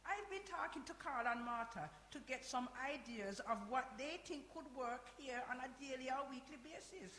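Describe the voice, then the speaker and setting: Woman acting a high-voiced, insistent older woman, echoey hall. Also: expressive, normal speed, hardly any pauses, fairly bright tone. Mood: brisk, persuasive. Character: Dorothy, brisk, insistent older Jamaican grandmother running a community centre. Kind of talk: live-performed community radio play. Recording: echoey hall, hiss and noise.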